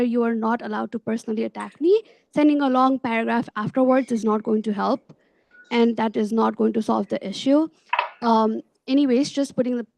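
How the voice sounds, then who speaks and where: A woman's voice: a little high, creaky, darkish tone, dry recording, low-quality recording. A young adult woman speaking as a student government officer giving a report at a meeting. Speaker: student government vice president.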